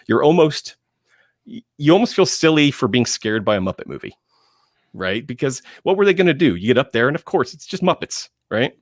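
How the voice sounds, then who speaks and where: A man speaking as a panelist in enthusiastic film talk, emphatic, with dramatic pauses and phrases repeated for effect. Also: mid-range voice, big pitch swings, dry recording, clean studio sound.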